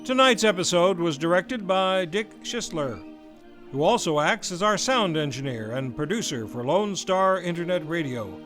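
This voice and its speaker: A man hosting an old-time radio show, reading scripted announcements in a formal, measured, theatrical announcer style. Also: high-pitched, expressive, a bit bright. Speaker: radio series host and announcer. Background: live improvised music.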